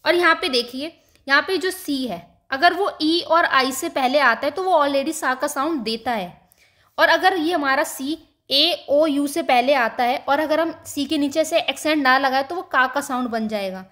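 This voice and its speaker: A woman teaching a beginners' language lesson over slides, patiently slowing down to sound out each example word and mixing English terms into her explanations. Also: sits high, fairly bright tone. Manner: patient, slows down to spell out example words.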